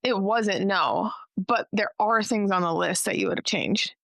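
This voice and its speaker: A young woman hosting a casual podcast chat, talking fast and loose with lots of "like" and a slightly nasal voice. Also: medium pitch, gravelly, a bit bright, dry recording, studio quality. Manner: chatty, heavy use of "like", quick asides. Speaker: podcast host.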